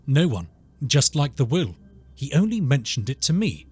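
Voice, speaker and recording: A formal, discreet banker, played by a man, dry in his remarks. The voice is husky and lilts up and down expressively, in audio drama style. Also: medium pitch, big pitch swings, moderate pace, a little mellow. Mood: dry, confiding. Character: discreet, formal male bank manager. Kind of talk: podcast audio drama acting.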